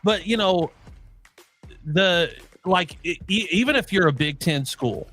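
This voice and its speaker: A man's voice: high-pitched, lively intonation, a little slow, a bit bright, soft background music. A man from the Midwest with a mix of Midwestern and Oklahoma accent, co-hosting a casual sports chat and rambling with many restarts. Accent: mixed Midwestern and Oklahoma. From Midwestern United States. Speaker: co-host.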